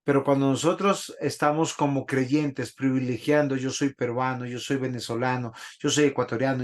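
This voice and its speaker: A man teaching a Bible class in a church, preaching and putting questions to the congregation. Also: medium pitch. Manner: didactic, asks the congregation questions.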